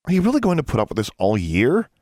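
A blunt, defiant American schoolgirl voiced by a male narrator speaks up in a storybook reading. The intonation is lively and the sound is dry. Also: medium pitch, fast, no room sound, voice pushed up. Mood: challenging.

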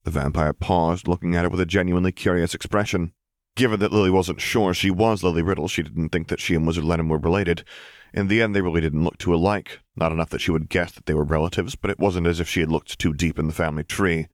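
A man's voice: deep voice, fairly even intonation, fast talker, no echo, clean studio sound. A man reading scripted fiction as an audiobook narrator.

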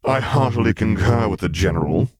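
A man's voice: fairly low pitch, animated, a little fast, no room sound, voice pushed up. A man voicing a blustering, indignant male senator who is easily outraged, in an audiobook. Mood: hotly indignant. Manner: huffy, bellowing. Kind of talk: audiobook character voice.

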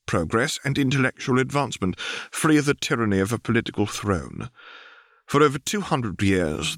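A man voicing a brisk newspaper reporter in an audiobook, delivering breaking news in a crisp, formal, declarative way. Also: on the low side, fairly bright tone, no room sound, studio quality, full character voice.

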